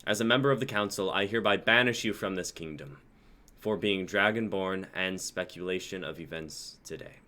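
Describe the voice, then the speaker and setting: A man's voice: deep voice, normal speed, pushed and bright. A man playing a commanding principal of a knights' council, solemn and proclamatory, in an amateur fantasy drama reading. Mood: booming, proclaiming.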